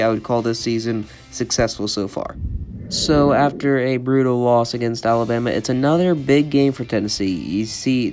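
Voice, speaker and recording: A young man with a cold, sounding congested, giving college football predictions in an opinionated, repetitive way as a channel host. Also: medium pitch. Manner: monotone, opinionated, repeats "I think".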